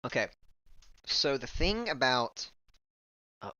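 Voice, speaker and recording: A man's voice: medium pitch, big pitch swings, slow pace, bright, forceful tone, dull audio. A man hosting a casual stream chat, goofy and rambling, laughing often. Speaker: streamer host.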